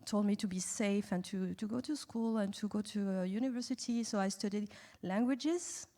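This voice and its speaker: A woman's voice: medium pitch, moderate pace, mellow. A woman from France with a French accent, speaking as a guest at a festival panel in a chatty way, often restarting her sentences. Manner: chatty with frequent restarts and laughs. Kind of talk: conversational panel talk. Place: festival talk venue.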